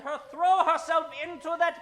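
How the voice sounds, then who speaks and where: An actor playing a gloating, grandiose villain with artificial hands in a melodramatic old-time radio comedy. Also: male voice, very high-pitched, fairly even intonation, moderate pace, sharp tone. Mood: gloating, menacing.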